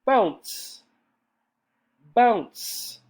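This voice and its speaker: A man's voice: very high voice, expressive, very slow. A man with an American accent explains vocabulary to English learners in a didactic way, repeating key words and often checking in as he goes. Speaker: English teacher.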